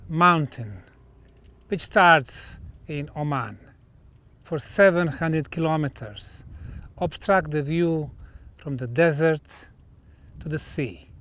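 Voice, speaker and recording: A man presenting to camera in a documentary style, speaking in measured short phrases with pauses between them. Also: a little high, fairly bright tone. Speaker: researcher presenting on location.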